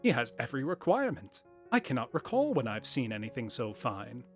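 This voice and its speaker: A man's voice: mid-range voice, very animated, a little fast, faint music behind. A man reading aloud, voicing a small, fussy office clerk who speaks formally and effusively.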